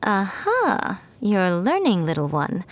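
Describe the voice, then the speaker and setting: A woman voicing a sly, elegant female fox spirit who speaks in an arch, teasing, unhurried way. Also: medium pitch, wildly swooping pitch, noisy background. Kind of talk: video game voice acting.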